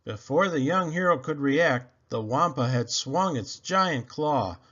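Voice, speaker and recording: A man's voice: fairly high pitch, expressive, a little slow, fairly bright tone. A man reading a children's adventure story aloud with dramatic emphasis on key phrases. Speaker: storybook reader. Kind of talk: scripted read-aloud storytelling. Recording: dull, lo-fi sound.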